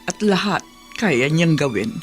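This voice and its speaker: A woman's voice: low-pitched, lively intonation, unhurried, faint music behind. A woman playing an older, remorseful grandmother in a Tagalog radio drama, emotional and pleading. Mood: worried.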